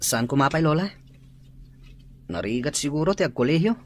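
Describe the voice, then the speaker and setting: A woman's voice: deep voice, expressive, a little fast, darkish tone, slight background noise. A woman playing a joking, easygoing teenage schoolboy in a radio drama. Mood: reluctant.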